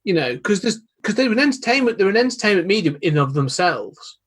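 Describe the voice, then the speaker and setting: A man chatting casually on a podcast, rambling and animated with many restarts. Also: high-pitched, brisk, breathy edge.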